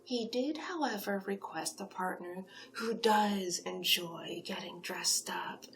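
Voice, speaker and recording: A woman acts out a female sphinx who works as a monster matchmaker in an ASMR roleplay. She speaks softly and slowly, with a creaky edge that slips into whispering. Her manner is chatty, coaxing and full of flattery, over faint background noise. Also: mid-range voice. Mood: admiring, coaxing. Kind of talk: soft-spoken ASMR roleplay.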